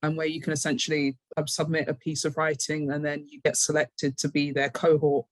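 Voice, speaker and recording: A woman's voice: low-pitched, little pitch movement, fairly quick, no echo. A British woman speaking casually as an interview guest, a little hesitant and self-deprecating.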